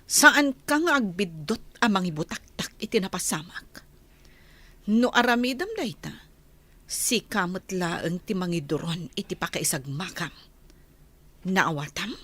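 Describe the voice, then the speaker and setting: An actress playing a stern, commanding mistress of a wealthy household in a radio drama, with an Ilocano accent. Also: female voice, on the low side, animated, measured pace, sharp tone, breathy edge, exaggerated voice. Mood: threatening. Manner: commanding, threatening.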